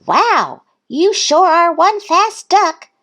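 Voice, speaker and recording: A woman's voice: high-pitched, wildly swooping pitch, takes it slowly, voice pushed up, heavily acted. A woman voicing a cheerful, admiring young duck in a children's storybook, eager and enthusiastic. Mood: eager, friendly. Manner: enthusiastic, happy exclaiming.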